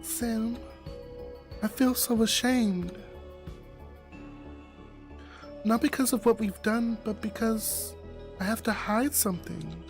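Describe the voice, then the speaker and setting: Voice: mid-range voice, steady tone, unhurried, soft background music, voice pushed up, natural voice. A performer reading a visual novel voices a shy, anxious young woman in love, hesitant, earnest and apologetic. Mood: ashamed.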